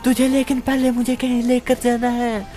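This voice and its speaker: A woman's voice: fairly high pitch, flat delivery, normal speed, faint music behind, lightly acted. A woman playing a murderous, commanding villain who speaks in threats in a melodramatic story. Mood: menacing. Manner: threatening, forceful.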